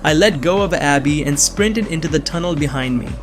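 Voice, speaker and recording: A man's voice: a little high, brisk, over music. A young man giving earnest, scripted first-person narration of a suspense story. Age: young adult.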